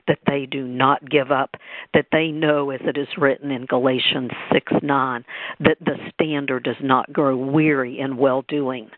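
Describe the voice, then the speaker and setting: Gravelly man praying over a phone line. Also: medium pitch, no echo. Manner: reverent, repetitive, quoting scripture. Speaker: prayer leader on a group call. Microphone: telephone on a conference call. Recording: narrow tinny phone line.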